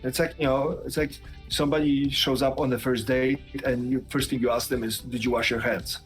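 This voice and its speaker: A man's voice: medium pitch, little pitch movement, a little fast, poor audio. Guy guesting on a stream, dry jokey rambling, medium voice, faint music in the back. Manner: dry, joking, rambling asides. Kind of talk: casual interview chat.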